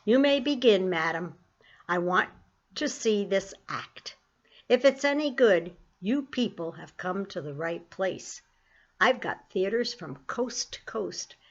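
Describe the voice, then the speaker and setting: A brisk, commanding theater owner, read aloud by a woman in a children's story, businesslike and used to giving orders. The delivery is pitched somewhat higher and louder than her narration, on a slightly dull, lo-fi recording. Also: animated.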